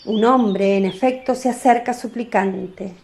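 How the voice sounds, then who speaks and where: A woman's voice: mid-range voice, measured pace, a little mellow, a little hiss or hum. A woman narrating a scripted religious radio drama as a storyteller.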